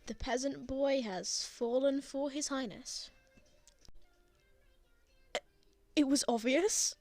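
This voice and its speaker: A woman playing a prickly, flustered, lovestruck young man in an intimate ASMR roleplay, with halting, sardonic delivery. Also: sits high, darkish tone, no room sound, soft background music. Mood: shy, tender.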